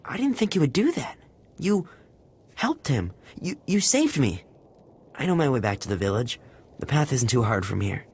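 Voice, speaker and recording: Halting, earnest young captive villager. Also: male voice, mid-range voice, sing-song, a little mellow, breathy edge. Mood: relieved, grateful. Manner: halting, pleading. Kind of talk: video game character acting. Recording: game music behind voice.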